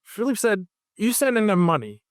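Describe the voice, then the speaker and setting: A man's voice: high voice, very animated, moderate pace, no echo, clean studio sound. A man from Haiti on a roundtable panel, talking emphatically and repeating his points for stress. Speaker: physician panelist. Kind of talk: roundtable discussion.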